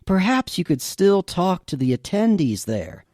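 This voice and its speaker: A man's voice: sits high, big pitch swings, unhurried, darkish tone, no echo, clean studio sound, pitched above the performer's own voice. A man voicing a courteous virtual assistant built into a truck. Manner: courteous, smooth, service-like.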